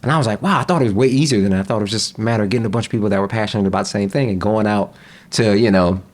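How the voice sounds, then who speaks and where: A young man chatting casually as a podcast guest, relaxed, with frequent laughs and fillers. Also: lowish voice, racing. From United States.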